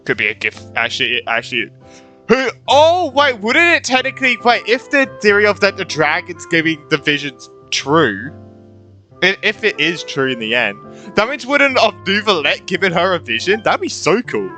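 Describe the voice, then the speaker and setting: Bright, animated game streamer. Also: medium pitch, normal speed, soft background music. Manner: slangy, stop-start thinking aloud. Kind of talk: casual live reaction commentary.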